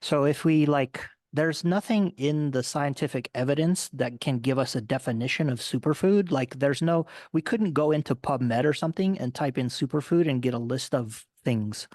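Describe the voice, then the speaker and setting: A man's voice: medium pitch, moderate pace, hardly any pauses, a little mellow, dry recording, studio quality. A man hosting a casual nutrition podcast as a coach, upbeat and explaining with many fillers.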